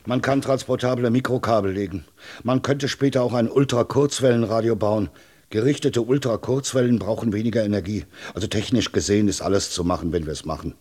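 An actor playing a practical radio engineer in a German radio drama, speaking in a factual, deadpan way. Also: male voice, lowish voice, brisk, natural voice. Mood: matter-of-fact.

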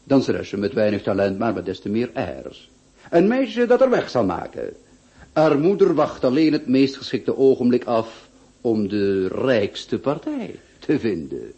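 An actor playing a worldly, teasing aristocrat in a radio play, suave and given to drawn-out emphasis. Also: male voice, medium pitch, big pitch swings, mellow, slightly rough, heavily acted. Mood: gossipy, teasing. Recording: dull lo-fi old radio recording.

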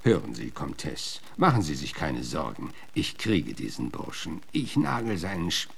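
An actor playing a gruff, plain-spoken middle-aged frontier marshal in a German radio drama, halting, folksy and awkwardly courteous, with a gravelly voice. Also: male voice, a bass voice, huge pitch swings, a bit bright, slight background noise, heavily acted. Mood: reassuring, confiding. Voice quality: gravelly, husky.

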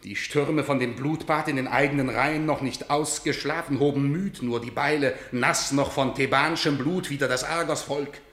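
An actor playing a messenger who reports a lost battle, in an urgent, grave declamation of classical verse. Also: male voice, mid-range voice, fairly bright tone, close to an everyday voice. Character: male messenger bringing news of defeat. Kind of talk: classical verse radio drama. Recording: roomy studio echo.

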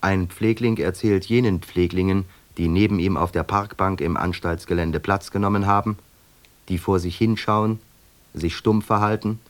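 A man calmly reading the scene narration in a radio play, in a measured voice. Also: low voice. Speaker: narrator reading stage directions.

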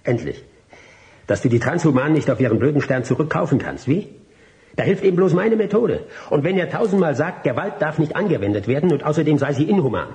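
An actor playing a cocky, combative radical activist in a radio drama, speaking with slangy scorn and self-assurance. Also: male voice, fairly high pitch, animated, fast talker, darkish tone. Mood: cocky, defiant.

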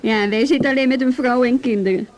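A woman's voice: on the high side, fast talker, darkish tone, lightly acted. An actress playing a self-possessed woman in a naturalistic radio drama, speaking frankly and teasingly. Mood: wry, friendly. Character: self-possessed, teasing married Dutch woman, detective's colleague.

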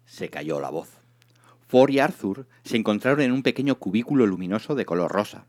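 Lively man narrating a comic story. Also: fairly low pitch, fairly quick.

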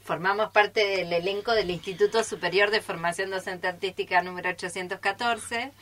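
Woman, mid-pitched, very bright voice; informal radio guest who explains and seeks agreement. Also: fairly even intonation, a little fast, a little hiss or hum. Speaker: radio drama troupe member, guest. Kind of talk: spontaneous group interview chat.

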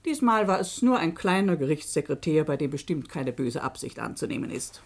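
A woman narrator in a German radio play, with a slightly low voice. She presents her case in an emphatic, didactic way with a touch of irony. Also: animated, brisk. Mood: pointed, ironic. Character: woman presenter explaining a bureaucratic case, pointed and ironic. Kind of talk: German radio drama narration addressed to listeners.

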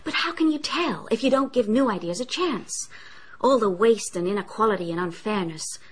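A woman's voice: medium pitch, lively intonation, moderate pace, husky. An actress playing an idealistic, scornful young upper-class woman in a radio drama, earnest and challenging.

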